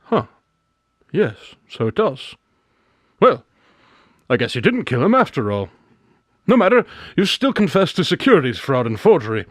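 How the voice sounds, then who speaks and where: A man acting a confident, brisk, slightly pompous private detective in a radio drama, articulate and theatrical. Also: fairly high pitch, very animated, no echo, clean studio sound. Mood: unfazed, smug.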